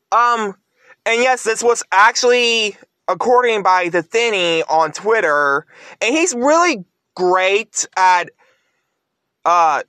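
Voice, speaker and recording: A man giving casual video commentary as a vlogger, in a halting, stop-and-start manner. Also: high voice, pushed and bright, no echo. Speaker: YouTube vlogger.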